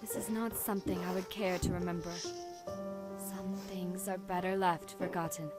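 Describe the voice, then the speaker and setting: A woman voicing a solemn spirit guarding memories in a visual novel game, speaking in a grave, measured way. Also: lowish voice, little pitch movement, a little mellow, soft background music, natural voice. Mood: grave, resigned. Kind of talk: scripted visual novel voice acting.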